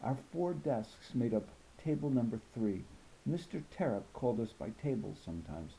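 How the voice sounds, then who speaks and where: Male audiobook reader for children's fiction, soft mellow tone, animated intonation. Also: mid-range voice, moderate pace, gravelly, a little hiss or hum, rough recording. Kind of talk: expressive storybook reading.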